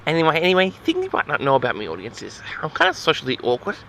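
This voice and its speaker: Man, awkward rambling storyteller, very forceful tone, creaky fry, faint background ambience. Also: on the high side, huge pitch swings, very fast, slight background noise. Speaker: host of an audio log.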